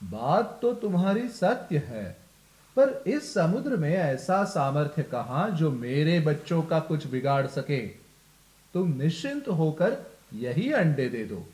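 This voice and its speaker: Man playing a proud, high-voiced male bird. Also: very animated, takes it slowly, darkish tone, echoey room, poor audio. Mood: boastful. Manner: proud, self-assured. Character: boastful, determined little male lapwing bird.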